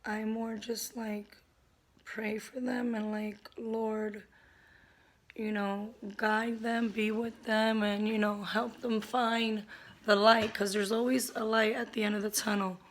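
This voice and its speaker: A woman with a hoarse voice talking at home in an earnest, open vlog about her own struggles, keeping her naturally loud voice toned down. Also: a little high, little pitch movement, slow pace, a little hiss or hum. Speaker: vlogger. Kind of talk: candid personal vlog talk. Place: at home, indoors.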